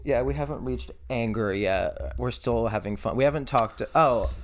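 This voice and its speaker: Man, mid pitch, talkative, swearing, laughing, casual podcast chat. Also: moderate pace. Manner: talkative and profane, laughing often. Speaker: podcast co-host.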